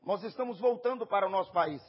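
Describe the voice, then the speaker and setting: Brazilian guy preaching, really high voice, kinda husky, short bursts then waits for the translator. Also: lo-fi sound. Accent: Brazilian Portuguese. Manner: preaches in short phrases, pausing for the interpreter, rising to shouts. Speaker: visiting preacher. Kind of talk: sermon with live interpretation.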